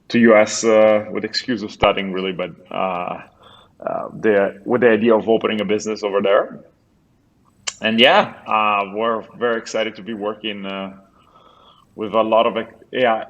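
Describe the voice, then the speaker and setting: A man's voice: fairly low pitch, little pitch movement, moderate pace, fairly bright tone, dull audio. Man, Italian accent, podcast guest, hesitant, thoughtful, many fillers, remote call. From Italy. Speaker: guest, company founder. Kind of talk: casual interview chat.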